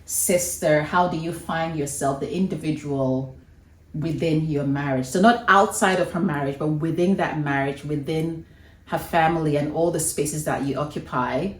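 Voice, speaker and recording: A woman's voice: sits low, normal speed. A woman hosting an interview with a friend, framing long, affirming questions. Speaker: host. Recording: echoey room from a distance.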